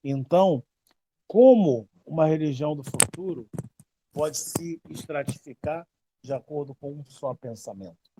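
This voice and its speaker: A Brazilian man in his fifties talks at length as a teacher, his voice warm and mellow yet pitched a little high. He stresses points by repeating himself and tells stories with lively emphasis. The sound is dry and close, with no room echo. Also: breathy edge. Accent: Brazilian Portuguese. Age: 50s. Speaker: babalawo teacher. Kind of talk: casual explanatory talk.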